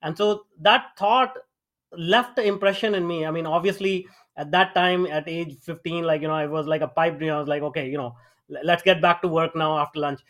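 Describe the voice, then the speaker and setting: A man's voice: fairly high pitch, brisk, a bit bright. A middle-aged man from India with an Indian accent, a guest telling his life story in a podcast interview, with many fillers and restarts. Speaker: guest, AI founder.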